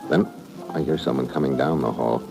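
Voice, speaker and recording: Loud music sits behind a very low American voice in an old radio recording. It is a hard-boiled investigator from a noir serial, clipped, slangy and cool. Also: male voice, fairly quick, creaky. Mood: cool, watchful. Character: hard-boiled American male investigator narrating his own case. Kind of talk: 1950s radio noir acting, first-person narration.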